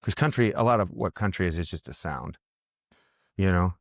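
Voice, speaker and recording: Brief: man, musician guest, low voice, rambling and restarting, dry close sound. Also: expressive, moderate pace, dry recording. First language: English. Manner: rambling, thinks aloud with many restarts. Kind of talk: casual podcast conversation.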